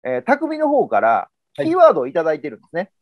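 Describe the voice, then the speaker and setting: A Kansai-accented man hosts a relaxed video-call chat, talking quickly with a lively, swinging pitch. His voice comes through dry and close, with no room sound around it. He sums up his guest's points in a loose, easygoing way. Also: no echo, highs cut off. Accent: Kansai Japanese. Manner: relaxed, summarizing, gestures while talking. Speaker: host. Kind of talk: casual video-call interview. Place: indoors at home.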